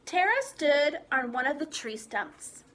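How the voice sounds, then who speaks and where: Animated woman reading a picture book to kids. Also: sits high, measured pace. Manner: animated, sing-song, addresses the children. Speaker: preschool teacher. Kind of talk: storybook read-aloud to young children.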